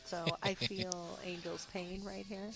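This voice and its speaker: A woman's voice: on the low side, level tone, takes it slowly, over music, rough recording. A woman co-commentating on a pool match on a live stream, chatting casually about her own games, talking into a headset mic. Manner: chatty, gravelly.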